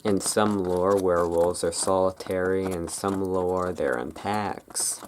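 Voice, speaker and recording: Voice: sits low, steady tone, slow, a little mellow. An ASMR host casually rambling through a show-and-tell, tentative and self-correcting, speaking close to a camera's built-in microphone. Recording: noisy camera microphone with clicking sounds.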